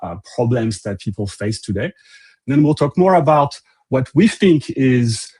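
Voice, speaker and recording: French-accented man with a husky voice. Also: medium pitch, animated, a little slow, a little mellow. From France. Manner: loose and conversational, frequent uh fillers and restarts. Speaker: company CEO presenting a webinar. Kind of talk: presentation to an online audience.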